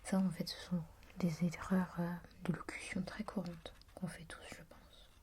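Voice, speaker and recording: A woman whispering as she reads aloud from a language reference book, softly musing and adding her own comments. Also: fairly low pitch, little pitch movement. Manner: whispering throughout; soft and musing, pausing to comment. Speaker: reader.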